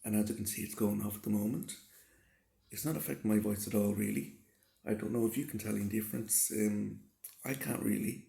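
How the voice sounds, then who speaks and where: A man with a rough, hoarse voice from a cold, giving casual, reassuring advice and often repeating and rephrasing himself. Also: on the low side, steady tone, a little fast, warm tone. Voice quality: gravelly, slightly rough. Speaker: vlogger answering a subscriber. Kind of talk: casual advice talk to camera. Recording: roomy, echoey sound.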